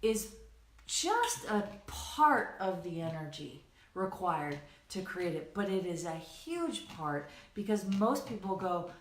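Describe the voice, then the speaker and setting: A woman's voice: fairly low pitch, animated, measured pace. A woman co-hosting a coaching livestream, chatting casually and enthusiastically to her online audience in a gushing, rambling way, often checking in as she goes. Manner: giggly, gushing, rambling with frequent "right" and "like". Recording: roomy, echoing sound.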